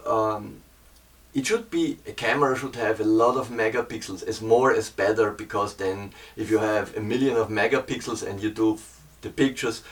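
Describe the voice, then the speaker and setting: A man with a German accent, a German native speaker, casually explaining his photography in hesitant English, pausing often to find words. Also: mid-range voice, far from the mic.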